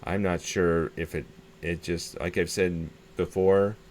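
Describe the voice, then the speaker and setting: A man's voice: low-pitched, fairly even intonation, measured pace, a little mellow. A man talking casually and tentatively through a hands-on repair demonstration, thinking aloud and often repeating himself as a hobbyist host. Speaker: hobbyist video host showing a radio repair. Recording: clear background noise.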